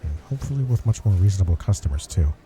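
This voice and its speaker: A man's voice: low-pitched, expressive, very fast, noisy background. A man playing an earnest, sarcastic superhero in a comedic ASMR roleplay, talking straight to the listener with grudging, wry concern. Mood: earnest warning. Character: earnest, wry male superhero griping at a cafe.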